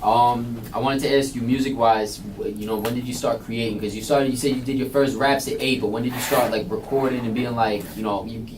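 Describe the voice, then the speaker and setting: A male radio host fires off eager, loosely phrased questions and swears casually. He talks at a quick clip. His voice comes from a mic some way off with a faint hiss. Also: mid-range voice, steady tone, distant mic. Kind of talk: casual interview chat. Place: radio studio.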